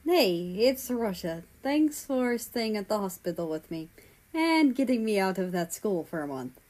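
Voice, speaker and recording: Woman voicing a quiet, reassuring teenage boy. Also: mid-range voice, very animated, unhurried. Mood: plain, grateful. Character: reassuring teenage boy, gentle big brother.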